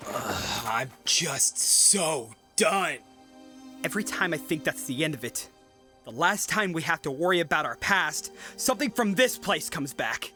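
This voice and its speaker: A man's voice: fairly high pitch, expressive, unhurried, bright, forceful tone, soft background music. A man playing a weary, anxious young survivor in an audio drama, with a downcast, hesitant manner. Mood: weary, bitter. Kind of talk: audio drama character acting.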